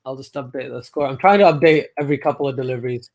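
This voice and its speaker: A man's voice: medium pitch, very fast, darkish tone. Chatty man giving cricket score updates. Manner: chatty, reads viewer comments, gives score updates. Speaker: cricket stream host reading chat. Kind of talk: casual chat over a live stream.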